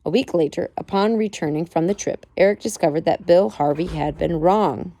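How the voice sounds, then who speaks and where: A woman reading a biography aloud at home in a measured storytelling way, with expressive emphasis. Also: lowish voice, dry recording. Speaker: parent reading aloud to child. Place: at home with a child nearby.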